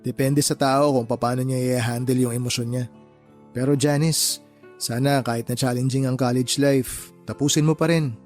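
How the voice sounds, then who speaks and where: Mid-pitched, kind, modest uncle played by a man. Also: fairly even intonation, normal speed, a little mellow, faint music behind, natural voice. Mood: encouraging. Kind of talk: Tagalog radio drama dialogue.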